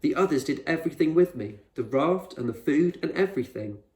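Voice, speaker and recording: A man's voice: fairly high pitch, expressive, fairly quick, darkish tone, voice pushed up. A man voicing an anxious, stubborn young boy in a storybook, urgent, questioning and argumentative. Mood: scared. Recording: roomy echo, distant microphone.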